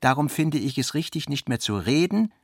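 A man's voice: a little high, expressive, normal speed, no echo, studio quality. A man reading scripted literary narration as the narrator of a radio play, voicing quoted dialogue within the prose.

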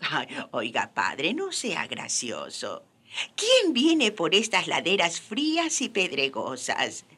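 A woman's voice: sits low, huge pitch swings, slow, sharp tone, husky, heavily acted. An actress playing a poor, wry, sceptical but hospitable peasant woman in a radio drama, with a hesitant, folksy, teasing manner. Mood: sceptical, plaintive.

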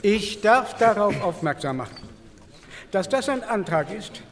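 An actor playing a formal, dryly strict parliament president, measured and procedural in a 1949 drama. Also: mid-range voice, sing-song, a little hiss or hum. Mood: dryly official. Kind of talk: dramatised reading of parliamentary protocol. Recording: reverberant assembly hall.

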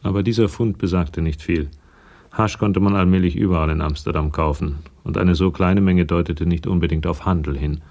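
A man's voice: sits low, fairly even intonation, brisk, creaky, darkish tone. A man narrating a radio crime drama in a sober, scripted way. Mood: measured, sober. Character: sober male narrator of a police crime story.

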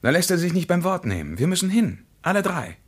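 Wry determined traveller in radio drama, short lines, husky, lively pitch. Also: male voice, a little fast, full character voice. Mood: wry insistence. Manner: terse, wry. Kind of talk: radio play dialogue.

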